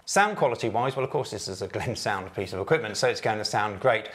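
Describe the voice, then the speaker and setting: A man in his forties giving an informal, conversational product demonstration, explaining clearly as a presenter. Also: lowish voice, animated, fast, fairly bright tone. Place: office meeting room, indoors. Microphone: lapel.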